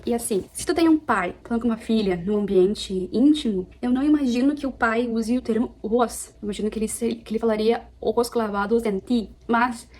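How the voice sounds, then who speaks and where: A young woman from Brazil, chatting casually at home as she reviews a book, animated and often correcting herself. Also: on the high side, brisk, hardly any pauses. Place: apartment at home.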